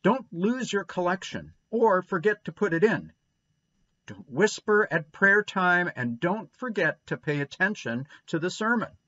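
A man's voice: high voice, sing-song, measured pace, sharp tone, voice pushed up, heavily acted. A man reading aloud and voicing a bossy older woman who sternly lists orders to children, on a dull lo-fi recording. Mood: scolding.

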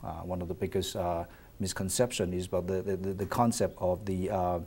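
Low husky guy from china, studio panel, lapel mic, lots of uh and restarts. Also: level tone, fairly quick, dark tone. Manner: thoughtful, many hesitations and restarts. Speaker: finance professor, panel guest. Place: television studio set.

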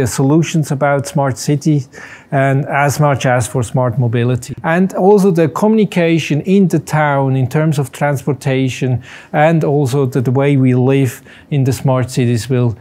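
A man's voice: mid-range voice, dark tone. A man in his forties, a company CEO, speaking unscripted in a showroom, full of restarts and fillers. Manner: halting, many restarts and fillers. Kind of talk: unscripted corporate interview and demo. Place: large company showroom with machines.

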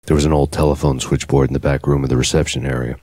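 A man playing a brooding, world-weary American cop who narrates in a deadpan, hardboiled noir style. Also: very deep voice, fast talker, no echo. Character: brooding, world-weary male New York cop gone rogue. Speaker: narrator protagonist.

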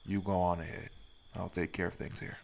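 A man's voice: low voice, flat delivery, a little fast, creaky, darkish tone, a little hiss or hum, rough recording. A man voicing a stern, weary wraith priest in an audio roleplay, with a laconic, folksy way of talking. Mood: calm, resolute. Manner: laconic, folksy, drawled nonstandard grammar. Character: stern, weary male wraith priest guarding his town. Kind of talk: scripted audio roleplay acting.

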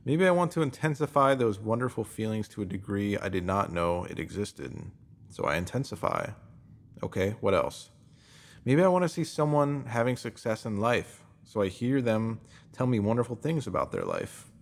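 A man narrating a scripted self-help text in an instructive, measured way, posing emphatic rhetorical questions. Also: on the low side, big pitch swings, creaky. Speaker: narrator reading a written post.

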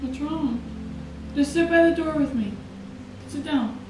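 A young woman speaks in a high, concerned, coaxing voice. She is picked up from across a very echoey room at home. Clear music plays behind her. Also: measured pace, distant mic. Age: young adult. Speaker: co-host, fiancée. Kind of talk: casual conversation. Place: house interior. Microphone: far camera.

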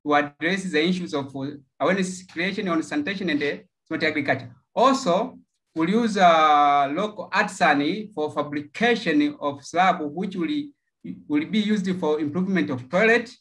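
A man presents a project pitch over a video call. His delivery is halting, with frequent fillers and repeated words. His voice sits a little above the usual male range. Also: a bit bright. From Tanzania. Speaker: project pitcher from a local NGO.

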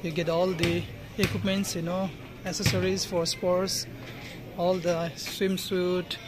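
A man's voice: high voice, flat delivery, measured pace. A man in his thirties narrating a casual vlog as he walks through a shopping mall, pointing things out to viewers with a phone camera. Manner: chatty tour-guide tone, points things out to viewers. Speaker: vlogger. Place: large indoor shopping mall. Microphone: handheld phone camera. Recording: noisy mall background.

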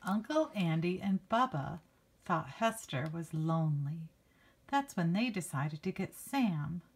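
A woman's voice: on the low side, lively intonation, a little slow, slightly rough. A woman reading a picture book aloud to children like a teacher, expressively stretching key words and adding casual asides. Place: indoors, book held before a handheld camera.

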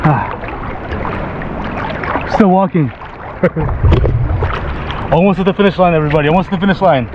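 A man's voice: fairly high pitch, measured pace, pauses often, lo-fi sound. Male travel vlogger, thirties, upbeat and laughing, action-cam audio buried in gusting wind. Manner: excitable, laughing, addresses viewers as guys. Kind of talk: casual travel vlog. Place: outdoors on a beach and wading in the sea. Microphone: action camera held at arm's length. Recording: loud wind noise on camera mic. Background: wind and waves.

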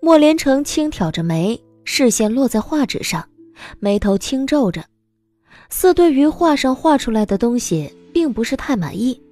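A woman reading scripted audiobook narration as an expressive storyteller. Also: high voice, normal speed, soft background music.

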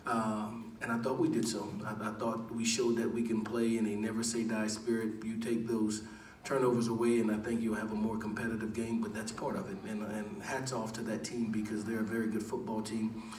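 A middle-aged man coaching a football team speaks at a postgame press conference, low-pitched and fairly flat in melody. He talks in a measured, earnest way, full of "uh"s and restarts. The sound comes from across a large echoey room with faint background hum. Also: far from the mic. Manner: measured, earnest, many "uh" pauses and repeated words. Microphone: table mic, recorded from across the room.

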